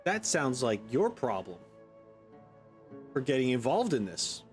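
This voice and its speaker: A man's voice: mid-range voice, big pitch swings, measured pace, faint music behind. A man doing casual let's play commentary as a gaming streamer, with a sceptical, sarcastic and blunt manner.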